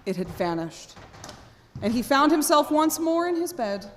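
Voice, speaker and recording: Female narrator, higher pitch, deliberate dramatic phrasing, storytelling for radio drama, long hall reverb. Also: very animated.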